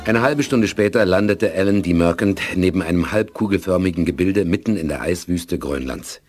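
A male narrator tells an audio drama story in a deep voice. The delivery is scripted storytelling, with music clearly behind him. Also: moderate pace, a little mellow.

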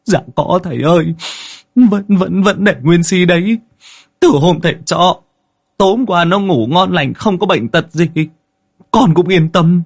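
Terrified rural husband for horror audiobook, played by a male narrator: high-pitched, whiny, stammering, pleading. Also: a little slow, slightly rough, full character voice. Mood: panicked, stammering. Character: panicked, whining village husband terrified of ghosts. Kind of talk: Vietnamese comic horror audiobook acting.

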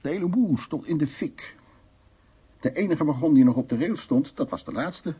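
An actor playing an older, frank and dominant man who reminisces intimately in short phrases, in a radio play with a husky, gravelly voice. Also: fairly high pitch, lively intonation, normal speed, dark tone. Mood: intense, grave.

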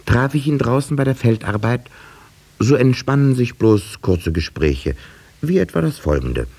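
An actor playing a village schoolteacher who narrates a 1950s German radio drama in a measured, precise, dryly ironic way. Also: male voice, medium pitch, sing-song, gravelly, darkish tone, slightly rough, full character voice. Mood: wry, reflective. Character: village schoolteacher narrator, a thoughtful, dry-witted man.

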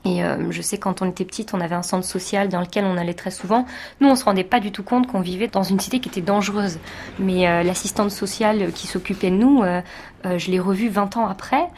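A woman's voice: medium pitch, brisk, darkish tone, a little hiss or hum. A woman from France, a teacher, giving a reflective personal testimony in an interview, speaking thoughtfully with many hesitations.

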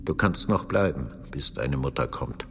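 An actor playing a stern, solemn monastery superior who speaks formally and severely in a radio drama. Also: male voice, extremely low pitch, lively intonation, unhurried, noisy background, full character voice. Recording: long hall reverb. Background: music.

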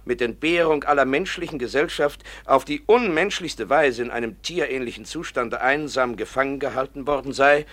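A man's voice: mid-range voice, fairly bright tone. A man acting in a German radio play as an earnest professor and pedagogue, formal and articulate. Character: earnest male professor and pedagogue, devoted to his ward.

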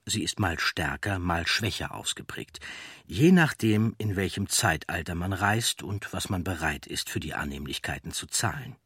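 Male audiobook narrator, low husky voice, explanatory documentary read. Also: animated, normal speed, a bit bright, no echo, studio quality.

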